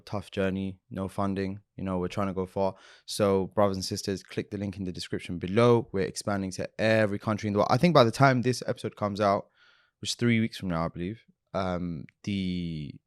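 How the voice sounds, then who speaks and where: A man's voice: lowish voice, smooth, no room sound, studio quality. A man co-hosting a podcast, talking earnestly in casual chat with lots of fillers and restarts. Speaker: podcast co-host.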